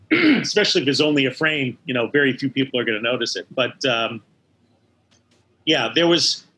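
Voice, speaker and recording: An older American man recalling his work as an interview guest, in a halting way that circles back over words. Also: mid-range voice, sing-song, bright, forceful tone, low-quality recording. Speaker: interview guest, animation studio veteran. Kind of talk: conversational interview recollection.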